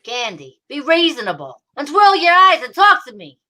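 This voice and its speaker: Exasperated, teasing police detective played by a woman, high bright voice, hardboiled radio-drama delivery. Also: sing-song, normal speed, slight background noise, full character voice, rough recording. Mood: impatient coaxing. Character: gruff, exasperated, fond police detective Mallard. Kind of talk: old-time radio detective acting, slangy.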